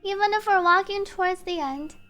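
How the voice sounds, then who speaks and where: Really high voiced girl streamer reading out game cutscene lines all dramatic, bit of music behind. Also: a little fast, bright, forceful tone. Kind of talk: reading translated subtitles aloud.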